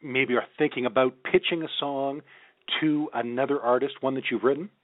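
A man's voice: mid-range voice, fairly even intonation, brisk, no echo. A man hosting a radio music interview, asking questions and introducing songs. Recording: dry, phone-like narrow band sound.

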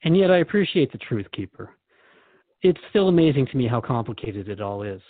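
A man's voice: medium pitch, very animated, fairly quick, no echo, phone-quality sound. A man hosting a reflective spiritual conversation, asking earnest questions as he thinks aloud. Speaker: podcast host questioning a spiritual guide.

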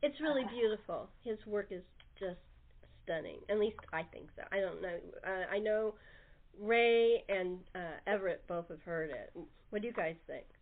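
Female talk host, medium pitch, casual and halting, thin telephone sound. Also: animated. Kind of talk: casual interview chat. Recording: phone-like narrow band.

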